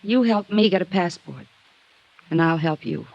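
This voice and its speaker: Creaky-voiced, world-weary American femme fatale. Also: female voice, fairly low pitch, lively intonation, normal speed, darkish tone, no echo, dull audio. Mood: bargaining. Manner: earnest, melodramatic, guarded. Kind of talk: 1950s radio noir acting.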